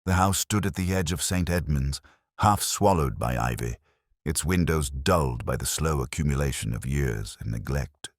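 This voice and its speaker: A man narrating a scripted first-person detective story in a measured, brooding, literary manner. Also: a bass voice, lively intonation, dry recording, clean studio sound.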